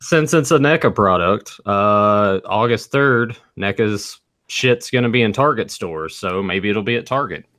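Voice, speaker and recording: A man co-hosting a casual podcast chat, speaking haltingly and often correcting himself mid-sentence. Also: on the low side, animated, fairly bright tone. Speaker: podcast co-host. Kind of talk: casual chat about collecting and movies.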